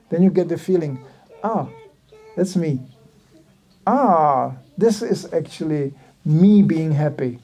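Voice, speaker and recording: Older man giving an animated talk. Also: a little high. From Czech Republic. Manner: animated storytelling, acting out quotes. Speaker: visiting speaker at a temple. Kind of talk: sermon.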